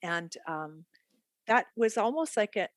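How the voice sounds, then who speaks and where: A woman's voice: mid-range voice, expressive, a little slow, dry recording, clean studio sound. A middle-aged Canadian woman with a Canadian accent, talking openly and reflectively as a guest in a podcast interview. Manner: chatty, laughs easily, reflective. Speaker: guest art therapist.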